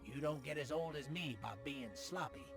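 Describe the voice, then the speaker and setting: A man's voice: fairly high pitch, normal speed, gravelly. An actor playing an eager, chatty male sidekick in a video game, earnestly trying to sound tough and correcting himself as he goes. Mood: mock-tough. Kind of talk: scripted video game mission dialogue. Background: music.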